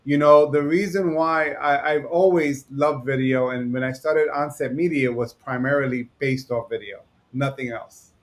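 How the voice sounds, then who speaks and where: A man from New York who owns a digital agency, talking fast and rambling in a casual chat. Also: mid-range voice, fairly even intonation. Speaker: digital agency owner, co-host.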